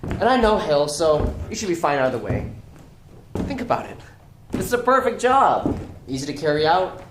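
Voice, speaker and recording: Shady, coaxing male crime boss played by a man, stage play, animated delivery, echoey room with noise behind. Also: a little high, normal speed. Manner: coaxing, evasive, rhetorical. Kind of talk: stage acting in a one-act play.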